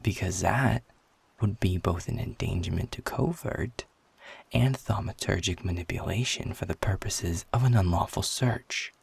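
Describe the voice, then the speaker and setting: A man playing a guarded, sarcastic, defiant werewolf in an intimate audio roleplay, talking bluntly with a husky, gravelly voice. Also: low-pitched, moderate pace, no echo, exaggerated voice. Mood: sarcastic, wary. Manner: sardonic, blunt, swearing, confrontational.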